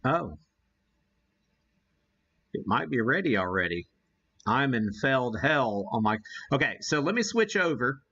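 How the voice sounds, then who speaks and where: A man talks casually as he hosts a live demonstration. His delivery is slow and halting, as if working things out aloud, with a folksy, self-deprecating manner. The recording is somewhat dull and lo-fi. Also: mid-range voice, sing-song, bright, forceful tone. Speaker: host of a live ham radio demonstration. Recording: dull, muffled lo-fi sound.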